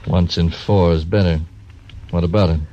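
An actor playing a blunt, fearless gambler, in 1940s radio acting. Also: male voice, low-pitched, steady tone, normal speed, mellow, lightly acted. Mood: menacing. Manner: menacing, blunt. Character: hard, fearless gambler and croupier. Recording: dull old radio recording, faint hiss.

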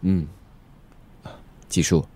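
A man's voice: drawn-out words, mellow. An actor playing a polite, remorseful young man from a rich family in a Mandarin radio drama. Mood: subdued, hesitant.

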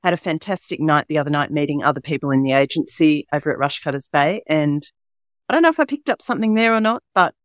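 Woman, Australian accent, croaky hoarse voice, chatty podcast host, dry close sound. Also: low voice, lively intonation, fast, no echo. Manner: chatty and upbeat, rambling asides. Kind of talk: casual podcast chat.

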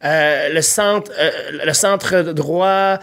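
A young man with a Quebec French accent, chatting passionately about politics, swearing casually and filling pauses with "euh". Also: fairly high pitch, fairly bright tone, smooth.

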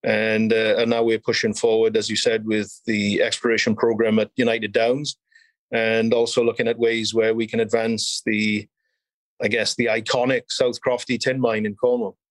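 A man in his fifties with a Welsh accent, speaking as an interview guest in a measured, explanatory way. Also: lowish voice, flat delivery, dry recording. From South Wales. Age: 50s. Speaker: podcast guest, mining company chief executive and geologist. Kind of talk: conversational interview, recounting career.

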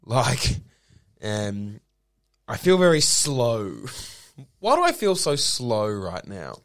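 A man's voice: wildly swooping pitch, takes it slowly. A man co-hosting a comedy podcast, joking through an improvised bit with swearing. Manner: playful, swearing, pitch jumps up and down. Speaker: podcast co-host.

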